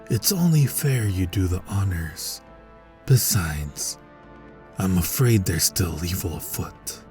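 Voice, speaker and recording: A man's voice: sits low, dark tone. A man playing a valiant, earnest superhero captain in an audio roleplay, speaking in formal, grandiose heroic declarations. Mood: earnest, resolute.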